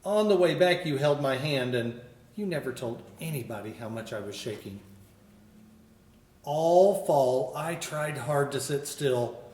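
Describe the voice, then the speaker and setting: An older man, a school principal, reading a picture book aloud with expressive storytelling. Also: medium pitch, unhurried, distant mic. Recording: roomy echo.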